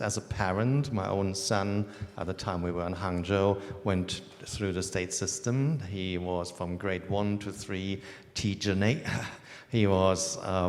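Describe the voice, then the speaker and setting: A middle-aged man with a German accent, speaking as a head of school on a panel, wry with humorous asides. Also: low voice. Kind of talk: panel discussion on stage. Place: event hall before an audience. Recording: reverberant event hall.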